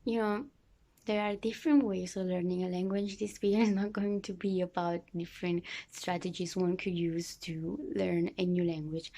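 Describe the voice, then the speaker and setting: Young woman, Italian native speaker, mellow soft tone, casual book vlog chat, lots of "you know" fillers. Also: medium pitch. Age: young adult. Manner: chatty, many fillers and restarts, occasional laughs. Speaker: book vlogger. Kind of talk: casual reading vlog talk.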